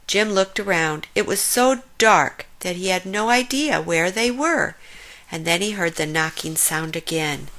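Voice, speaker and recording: A woman reading a children's story aloud as an expressive storyteller. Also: lowish voice, unhurried, slight background noise.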